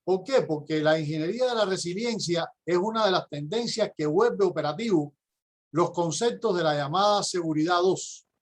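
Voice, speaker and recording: Man, Cuban accent, middle-aged, high voice, conference lecture, measured, explanatory. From Cuba. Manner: measured, explanatory, with occasional hesitations. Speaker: university professor and conference speaker.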